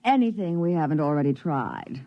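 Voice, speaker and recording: A woman's voice: low voice, lively intonation, brisk. A woman playing a jaded, sultry thrill-seeking space passenger, languid and teasing, in 1950s radio drama style. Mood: bored, arch. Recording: muffled vintage radio broadcast.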